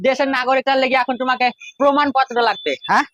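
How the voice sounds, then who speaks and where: Aggrieved old rural West Bengal villager played by a man, extremely high, bright voice, comic skit grumbling. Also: fast, no room sound, highs cut off. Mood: weary grumbling. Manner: complaining, repetitive rhetorical questions. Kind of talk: comic social skit acting. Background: faint music.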